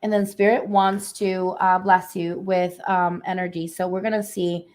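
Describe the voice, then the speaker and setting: A woman with a slightly hoarse voice giving a live spiritual reading, speaking softly and unhurriedly with many fillers. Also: on the low side, flat delivery, normal speed. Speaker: energy healer giving readings. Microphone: phone or webcam on a livestream.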